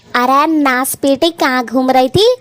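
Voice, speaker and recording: A woman voicing a domineering older mother-in-law in a cartoon, scolding with sharp taunts. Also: sits high, unhurried. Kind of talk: Hindi cartoon voice acting.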